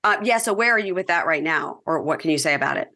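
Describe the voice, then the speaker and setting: Bright-voiced Southern woman hosting a podcast. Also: low-pitched, lively intonation, creaky. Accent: Southern US (Mississippi). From Mississippi, USA. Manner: chatty, affirming, quick to laugh. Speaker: podcast host. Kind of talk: casual interview chat.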